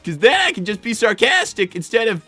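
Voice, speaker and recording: A performer voicing an excitable young fox boy, a flustered older brother who stammers and argues on an in-character gaming livestream. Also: mid-range voice, fairly quick, no room sound, faint music behind. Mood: exasperated. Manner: stammering, exasperated, argumentative.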